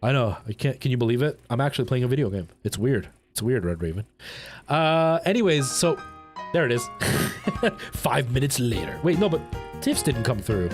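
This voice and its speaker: A male livestream host with a mid-pitched voice and music playing behind him. He chats casually with viewers, rambling in an animated, colloquial way. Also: moderate pace. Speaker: live streamer and host.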